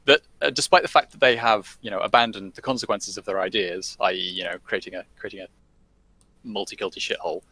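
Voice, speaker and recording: Bright-voiced man, hesitant guest talk. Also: on the low side, fast talker, dry recording. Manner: hesitant, many fillers and restarts. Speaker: guest commentator. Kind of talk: casual podcast discussion.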